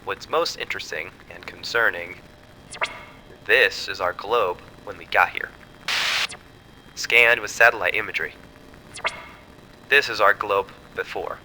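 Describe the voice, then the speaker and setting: Male actor uneasy analyst, lowish pitch, very crisp bright tone, earnest, cautious, explanatory, horror audio drama, faint background rumble. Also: unhurried, long pauses, rough recording. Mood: concerned. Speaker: scientist's assistant.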